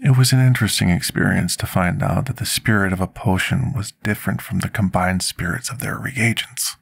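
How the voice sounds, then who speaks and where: Man voicing a deep, very gravelly brooding potion master. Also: exaggerated voice. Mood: reflective, fascinated. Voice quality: gravelly, husky. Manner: formal, archaic, measured storytelling diction. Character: male potion master, brooding, confessional former noble, formal-spoken. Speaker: ASMR roleplay performer. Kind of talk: ASMR roleplay, intimate storytelling monologue.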